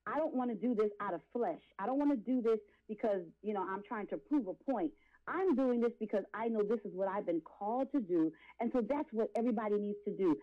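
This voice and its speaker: A woman's voice: a little high, muffled recording. A woman in her late forties giving testimony as an interview guest, speaking in an impassioned, preachy, emphatic way with repeated phrases. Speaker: guest, R&B and gospel singer.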